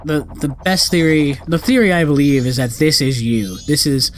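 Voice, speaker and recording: A man's voice: a little high, animated, normal speed, a little hiss or hum. A man giving casual, rambling, sweary let's play commentary on a video game.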